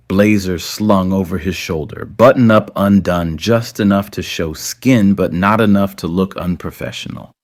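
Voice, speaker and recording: Male narrator, low pitch, relaxed scripted storytelling, dry close sound. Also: dry recording.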